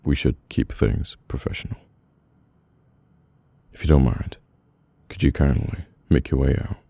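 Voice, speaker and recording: A man with a British accent playing a brooding, guarded mafia boss in an intimate, halting, murmured ASMR roleplay. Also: a bass voice, steady tone, creaky, warm tone, no echo, exaggerated voice. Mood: guarded, grateful.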